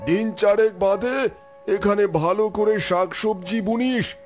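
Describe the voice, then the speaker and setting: A man playing a solemn, assured village exorcist in an animated horror story, with a slow, drawn-out delivery. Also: high voice, soft background music. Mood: solemn assurance.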